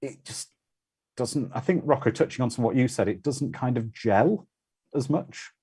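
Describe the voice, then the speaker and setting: A man's voice: medium pitch, expressive, moderate pace, dark tone, slightly rough. A man hosting a watch party, giving casual, conversational commentary on a film.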